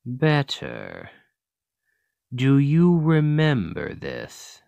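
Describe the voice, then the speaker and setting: A man playing an ancient Demon King, a coldly calculating tyrant who talks in a measured, condescending, menacing way in an ASMR roleplay. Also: medium pitch, huge pitch swings, vocal fry, darkish tone, no echo, full character voice. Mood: commanding.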